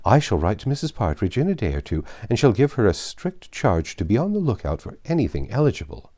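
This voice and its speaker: A man's voice: fairly low pitch, very animated, brisk, dark tone, pitched above the performer's own voice. A man voicing an officious, self-important married woman in a classic novel reading, pompous, gushing and patronising. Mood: insistent, patronising.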